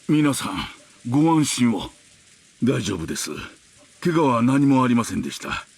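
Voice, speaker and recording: An elderly physician in a visual novel game, reassuring and composed. His voice is raspy, with expressive pitch and a brisk flow. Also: exaggerated voice. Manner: measured, reassuring. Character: calm, reassuring elderly family doctor.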